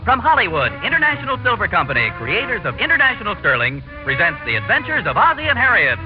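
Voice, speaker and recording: Brisk 1940s radio announcer, male. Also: high-pitched, huge pitch swings. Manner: polished, declamatory. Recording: muffled 1940s radio recording with music.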